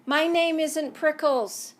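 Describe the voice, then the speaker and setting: A woman voicing a grumpy male cactus who shouts at passersby, in a children's storybook read-aloud at home. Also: on the high side, expressive, slow pace. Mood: yelling. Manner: shouting, gruff. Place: home, by a fireplace.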